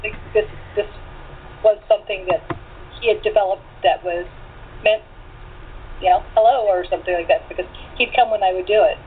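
Woman, mid-pitched, casual guest chat, rambling delivery, narrow telephone sound, light noise. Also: fairly even intonation, a little fast, pauses often. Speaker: voice actor guest. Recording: tinny phone line with faint noise.

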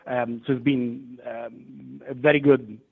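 A man's voice: medium pitch, flat delivery, slow, vocal fry, breathy edge. Italian-accented man on a phone line. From Italy. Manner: hesitant, many fillers and restarts. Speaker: guest. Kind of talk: phone interview. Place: London.

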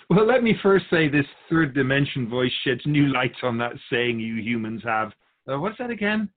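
A man's voice: medium pitch, big pitch swings, normal speed, phone-quality sound. A man playing an otherworldly spirit guide who is amused by humans and speaks with pedantic sarcasm in a scripted radio conversation. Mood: amused, mocking.

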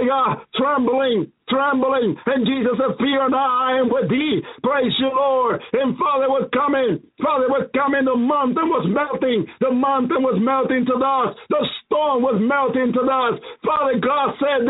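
A man delivers a fervent prophetic sermon in a strikingly high voice, repeating each phrase and dropping hallelujahs between them. The sound is narrow and tinny, like a broadcast heard over a phone line. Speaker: preacher.

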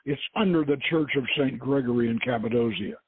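A man voicing a shrewd, uneasy male archaeologist in an adventure story, matter-of-fact and measured. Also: mid-range voice, husky, no echo. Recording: muffled phone-like lo-fi audio.

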